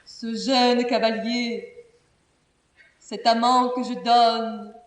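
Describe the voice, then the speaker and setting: An actress playing a proud, lovesick young princess, declaiming classical French verse tragedy in a solemn, impassioned and theatrical manner. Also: female voice, a little high, flat delivery, drawn-out words. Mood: anguished confession. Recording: roomy, distant theatrical studio recording.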